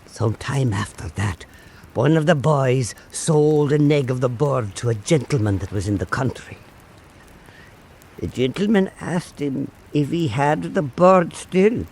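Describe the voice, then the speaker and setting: A man playing an old island storyteller, telling a folk tale in a measured, earnest, dramatic way for a radio drama. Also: on the high side, a little mellow, exaggerated voice.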